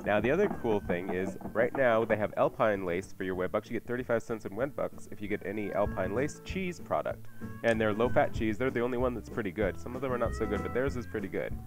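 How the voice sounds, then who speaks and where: Male chef host, lowish voice, brisk folksy chat, faint music underneath. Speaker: radio cooking show host, chef. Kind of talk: casual chat giving food tips. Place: radio studio.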